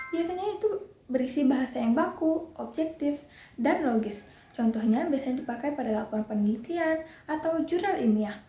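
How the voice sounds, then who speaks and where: A young woman presenting a class project, reading through lists of points with small slips and restarts. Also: sits high. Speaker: student presenter. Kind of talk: class presentation explaining a topic. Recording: echoey room with clear background noise.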